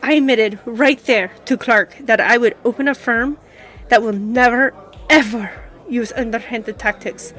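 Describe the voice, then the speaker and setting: Girl playing a lady lawyer opening up over drinks, real slow w pauses, bit high pitched, audio drama. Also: a bit bright. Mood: frustrated, firm. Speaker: voice actor in audio roleplay. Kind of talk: scripted conversational audio roleplay.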